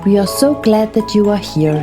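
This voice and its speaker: A woman gently narrating a scripted children's bedtime story in a soothing, soft-spoken way. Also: medium pitch, lively intonation, normal speed, over music.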